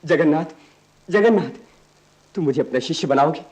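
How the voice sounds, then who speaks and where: A man's voice: a little high, normal speed, darkish tone, breathy edge. A male actor playing an impassioned, stubborn young idealist in a radio play, with earnest, oratorical delivery. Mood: fervent. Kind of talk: Hindi radio play acting.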